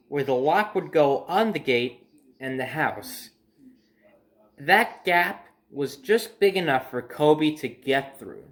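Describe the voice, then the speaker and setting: A young adult man casually telling a personal story to camera in a conversational, emphatic way. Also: a little high. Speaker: storyteller and host. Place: indoor room by a window at home.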